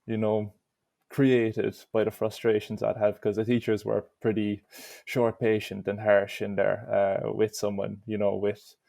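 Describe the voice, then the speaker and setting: A man's voice: on the low side, completely flat delivery, a little slow, gravelly, warm tone, dry recording. A young Irish man answering interview questions in an easygoing way, with lots of "you know". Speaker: interview guest.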